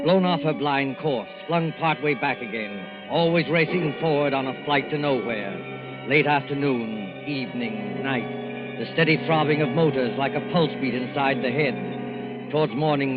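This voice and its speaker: Actor as solemn radio narrator over loud music. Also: male voice, mid-range voice, close to an everyday voice. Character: solemn, atmospheric male storyteller of a thriller. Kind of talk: 1940s radio dramatic narration. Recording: muffled old radio recording.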